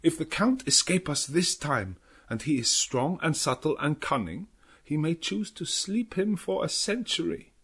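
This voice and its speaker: Old professor, raised pitch, grave, kindly, rhetorical, foreign phrasing, gothic audiobook. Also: male voice, expressive, unhurried, a bit bright, husky, exaggerated voice. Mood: pleading, grave. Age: older. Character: old Dutch professor, earnest, grave, kindly vampire hunter.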